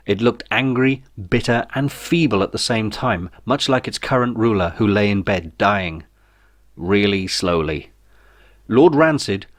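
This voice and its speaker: Guy reading his funny novel out loud, lowish voice, dry wry storyteller delivery. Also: no echo. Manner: wry, theatrical. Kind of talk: comic scripted audiobook narration.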